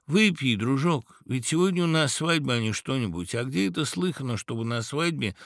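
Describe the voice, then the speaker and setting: A man's voice: on the high side, animated, brisk, sharp tone, no room sound, clean studio sound, voice pushed up. A man voicing a hearty, good-natured woodpecker in a storybook, coaxing and exclaiming. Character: hearty male woodpecker, eager good-natured wedding guest.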